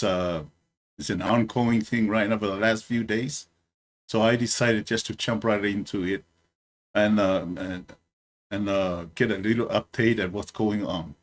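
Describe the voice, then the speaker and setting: A man's voice: on the low side, fairly even intonation. A middle-aged man from Samoa with a Samoan accent, a retired Marine, giving casual commentary with many fillers and restarts. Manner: halting, many fillers and repeated words.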